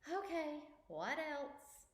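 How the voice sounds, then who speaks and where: A woman voicing a kindly, patient old grandfather in a storybook, speaking in a gentle, measured, reassuring manner. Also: high voice, sing-song, roomy sound, voice pushed up, full character voice. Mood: sympathetic.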